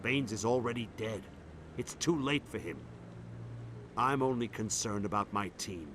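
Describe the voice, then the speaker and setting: Anxious admiral, played by a man, lowish, over noisy ambience. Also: moderate pace, close to an everyday voice. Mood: urgent. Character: worried male admiral, pleading. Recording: game audio, noisy.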